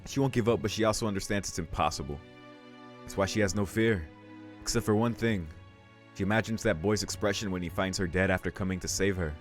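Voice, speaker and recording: A male let's-play host reads visual novel narration aloud. His voice is quite low, and soft music plays behind it. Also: fast talker.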